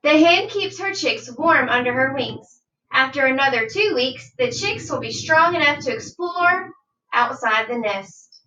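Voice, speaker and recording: Female primary teacher for children's read-aloud: bright, unhurried, instructive delivery, slightly distant from the mic. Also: high voice, lively intonation. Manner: deliberate, instructive, asks listeners questions. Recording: dull, roomy sound, distant from mic.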